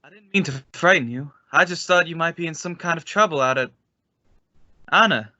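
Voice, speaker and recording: An affable, wry fellow played by a man in a radio suspense play. His voice is fairly high and bright, with a breezy delivery on a dry, slightly dull recording. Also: moderate pace, no echo. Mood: wry, friendly. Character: affable, joking man, old hometown acquaintance. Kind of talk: radio suspense drama dialogue.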